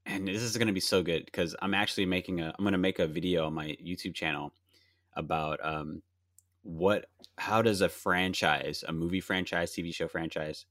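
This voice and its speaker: Low-voiced man in his late twenties, casual podcast co-host, long explanations, dry close sound. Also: steady tone, normal speed, a bit bright, no echo. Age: 20s. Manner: explains at length, reacts to a movie while talking.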